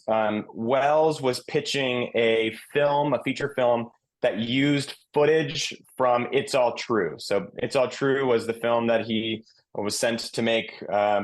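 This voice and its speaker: Male podcast panelist, medium pitch, explaining background in an informal conversational style. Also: poor audio.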